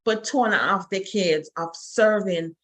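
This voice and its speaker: A woman's voice: mid-range voice, moderate pace. Woman host, fervent and repetitive, exhorting her audience in a faith-based interview.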